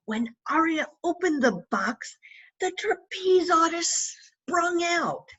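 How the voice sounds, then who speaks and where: A woman with a husky voice reading a children's story aloud with dramatic emphasis and little asides to young listeners. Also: very high-pitched, animated, slow pace, a bit bright, rough recording.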